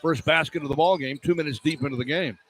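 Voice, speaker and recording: High-pitched man calling live basketball. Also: fast talker. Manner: clipped running description, excited on key plays. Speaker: play-by-play sports announcer. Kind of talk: live basketball radio play-by-play commentary. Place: courtside in an indoor arena. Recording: clear arena noise behind voice. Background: arena ambience.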